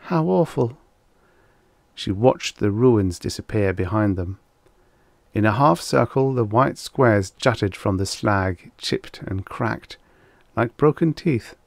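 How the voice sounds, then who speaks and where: A man reads a science fiction audiobook in a low voice with a creaky edge. His delivery is measured and deliberate, and the sound is very dry and close. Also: no echo. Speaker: audiobook narrator. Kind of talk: scripted narration of vintage science fiction story.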